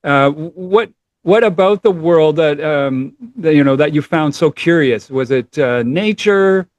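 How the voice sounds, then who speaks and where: A middle-aged man hosting a podcast interview, friendly and a little halting, with fillers and restarts. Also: on the high side, no echo. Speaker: podcast host.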